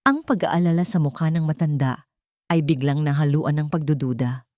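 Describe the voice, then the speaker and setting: Low-pitched Filipino woman narrating drama. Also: normal speed, a little mellow, dry recording, clean studio sound. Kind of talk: scripted dramatic story narration.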